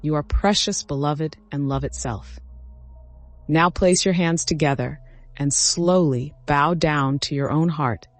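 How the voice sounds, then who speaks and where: A man narrating a scripted guided meditation as the guide, giving instructions in measured phrases with short cues. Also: on the high side, dry recording. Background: background music.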